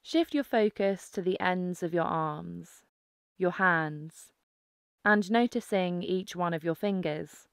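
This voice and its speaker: Female meditation guide: clear, smooth tone, very measured pace, calm instructive delivery. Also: fairly low pitch, no echo, clean studio sound. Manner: calm, instructive, unhurried with pauses. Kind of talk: guided mindfulness meditation narration.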